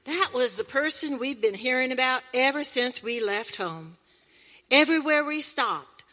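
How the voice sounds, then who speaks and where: A woman's voice: on the high side, pushed and bright, heavily acted. A woman playing a folksy, motherly pioneer woman in a western radio play, emphatic and theatrical, on a reverberant stage. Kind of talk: western radio drama acting.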